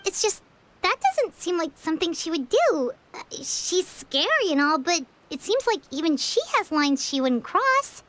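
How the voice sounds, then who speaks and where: Pint-sized excitable game sidekick: very high and squeaky, refers to herself by name. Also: female voice, unhurried, a little hiss or hum, exaggerated voice. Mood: puzzled. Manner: talks about herself in the third person. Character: tiny excitable floating female companion. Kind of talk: game cutscene voice acting.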